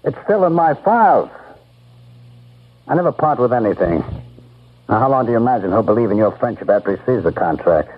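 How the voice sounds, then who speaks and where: An actor playing a cold, greedy, domineering boss in an old-time radio drama, imperious and emphatic. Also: male voice, medium pitch, very animated, a little fast, pauses often. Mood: menacing. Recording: tinny, muffled old radio recording.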